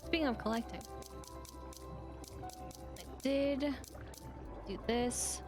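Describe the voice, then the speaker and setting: A woman's voice: sits high, slow pace, over music. A woman streaming a video game, giving casual, rambling commentary as she thinks aloud. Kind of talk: casual live gaming commentary.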